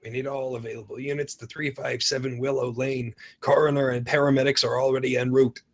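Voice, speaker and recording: A man playing a brisk, authoritative police officer in a screenplay table read. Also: mid-range voice, little pitch movement, lightly acted. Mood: curt, official.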